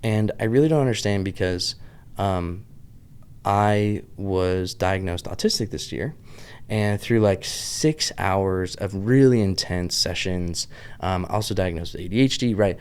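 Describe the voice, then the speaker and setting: A man's voice: deep voice, measured pace, a little mellow, smooth. A man in his thirties with a Pittsburgh accent touched by the Southern US, talking in a chatty, tangent-hopping vlog monologue full of "like". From Pittsburgh, USA. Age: 30s. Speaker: vlogger host. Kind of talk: casual rambling monologue.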